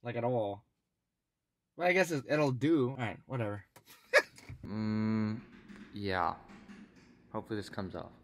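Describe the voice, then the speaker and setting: A man's voice: lowish voice, measured pace, long pauses, roomy sound. Casual young American man vlogging. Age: young adult. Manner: slangy, informal, often saying bro and man. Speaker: video blogger. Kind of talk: casual vlog chat to camera. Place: indoors, in an apartment, car and parking garage.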